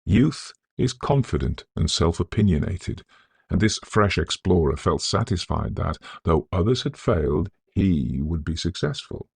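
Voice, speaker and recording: A man narrating a Victorian ghost story in a scholarly, measured, theatrical manner. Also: low-pitched, animated, vocal fry, husky, no room sound. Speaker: audiobook narrator.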